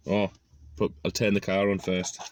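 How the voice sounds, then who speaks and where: Low-voiced man, casual DIY tutorial, noisy recording. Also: completely flat delivery, normal speed. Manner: talks through each step while working, thinking aloud. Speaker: presenter of a DIY car project video. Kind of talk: casual hands-on tutorial talk. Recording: noisy amateur recording with clicks.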